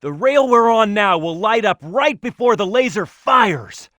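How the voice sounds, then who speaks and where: Bold high-pitched cartoon fighter. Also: male voice, expressive, unhurried, fairly bright tone, no room sound, clean studio sound. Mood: instructing. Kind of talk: scripted video game character voice acting.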